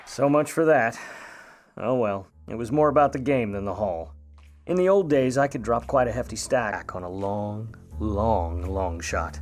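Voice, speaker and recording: An actor playing a sardonic, world-weary 1950s Hollywood private eye in a radio play, with hard-boiled delivery. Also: male voice, on the low side, measured pace, soft background music, close to an everyday voice. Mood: resigned narration. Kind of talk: noir radio play narration and dialogue.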